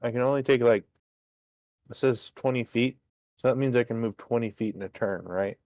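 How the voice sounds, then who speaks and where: A man's voice: medium pitch, normal speed, a little mellow, no room sound. A man talking casually as a player in a tabletop game, thinking aloud and repeating his words.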